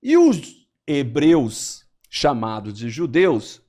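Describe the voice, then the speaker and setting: A Brazilian man on a podcast, explaining history in a lively storytelling way and acting out quotes. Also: slow. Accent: Brazilian Portuguese. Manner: lively storyteller, animated swings in pitch, acts out quotes.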